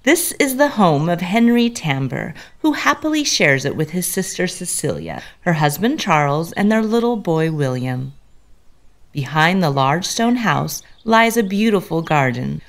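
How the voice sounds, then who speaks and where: A woman narrating a scripted story as a storyteller in an audio drama. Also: sits low.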